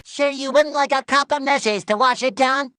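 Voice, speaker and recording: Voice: normal speed, very noisy, natural voice. A performer voicing a pushy, chirpy little cartoon coffee salesman with cheerful menace, in a perky ad-skit delivery. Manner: perky, insistent sales pitch. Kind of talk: old TV ad skit dialogue.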